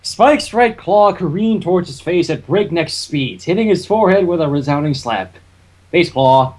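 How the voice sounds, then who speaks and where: A fan fiction reader narrating a story in an animated way, with casual banter in between. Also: mid-range voice, normal speed.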